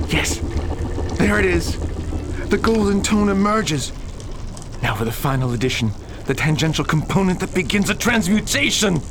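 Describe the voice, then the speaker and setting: An actor playing an obsessive, paranoid, bitter alchemist father in an old-fashioned radio drama, with halting, declamatory delivery. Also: a little high. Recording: clearly noisy radio play recording.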